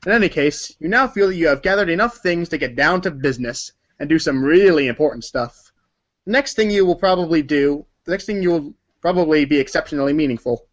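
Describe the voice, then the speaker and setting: A man with a fairly high voice narrates a scripted story in the second person. His delivery is theatrical and emphatic, like a read-along book, and the recording is slightly dull. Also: animated, a little fast.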